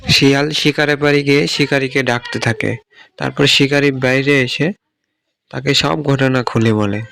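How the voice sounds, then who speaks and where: A man's voice: mid-range voice, steady tone, normal speed, gravelly, fairly bright tone. A man narrating a scripted children's animal story as the storyteller.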